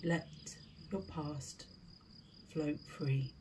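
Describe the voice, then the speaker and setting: Slow, low-voiced woman guiding meditation. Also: steady tone, noisy background. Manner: soothing, deliberate, with short spaced phrases. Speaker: guided meditation host. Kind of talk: scripted guided meditation.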